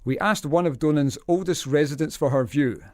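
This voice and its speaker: An actor playing a formal radio newsreader in an audio drama, reporting in a scripted bulletin style. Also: male voice, on the high side, brisk, dry recording, clean studio sound, close to an everyday voice. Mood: brisk, formal. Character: formal male radio newsreader, easily flustered. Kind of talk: news reading in audio drama.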